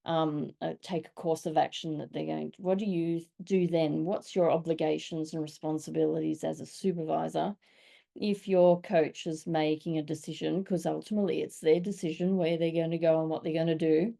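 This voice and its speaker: Woman facilitator, mellow and gravelly, who asks probing questions. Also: deep voice, little pitch movement, normal speed. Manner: probing questions, brief affirmations. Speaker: discussion facilitator.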